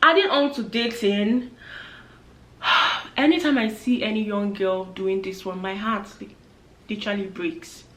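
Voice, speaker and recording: A woman's voice: mid-range voice, measured pace, long pauses, a bit bright. A young woman in her twenties giving earnest, chatty advice to camera, acting out the voices she quotes. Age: 20s. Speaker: vlogger. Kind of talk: casual advice talk to camera. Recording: echoey room.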